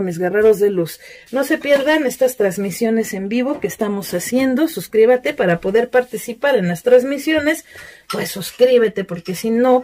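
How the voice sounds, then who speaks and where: A Mexican woman chats her way through a spell tutorial, rattling off lists of promises. Her voice sits at an ordinary middle pitch, recorded close in a small room. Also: a little mellow, a little hiss or hum. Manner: chatty, list-like, selling her services. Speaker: self-styled witch giving spell tutorials. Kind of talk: casual spoken tutorial and self-promotion. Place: indoors at a cluttered altar table.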